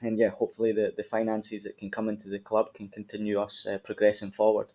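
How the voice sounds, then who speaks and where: A man in his thirties with an Edinburgh Scottish accent, a football club manager answering podcast interview questions in a relaxed, conversational way with frequent ums. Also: fairly low pitch, fast talker. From Scotland.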